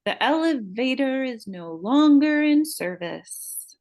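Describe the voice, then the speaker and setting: A woman's voice: high-pitched, lively intonation, slow pace, darkish tone, full character voice. A woman playing a vain, unhinged beauty-queen murderer in a live screenplay table read, taunting and swearing with theatrical flair. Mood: menacing taunts. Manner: taunting, profane, theatrical outbursts.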